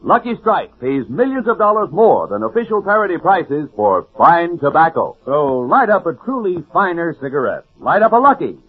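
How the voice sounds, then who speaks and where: A man's voice: a little high, big pitch swings, normal speed, hardly any pauses, breathy edge. A man reading a scripted advertisement as a 1940s radio announcer. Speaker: commercial announcer. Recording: narrow-band 1940s radio recording.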